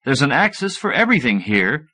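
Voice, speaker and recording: A man voicing an excitable, smug mad scientist who lectures eagerly in an audiobook. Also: mid-range voice, brisk. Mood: matter-of-fact explaining. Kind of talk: audiobook character dialogue.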